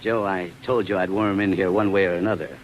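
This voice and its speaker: An actor playing a confident, smooth-talking showman with a breezy, persuasive manner in a 1940s radio drama. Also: sits low, fairly even intonation, fast, lo-fi sound, slight background noise, close to an everyday voice.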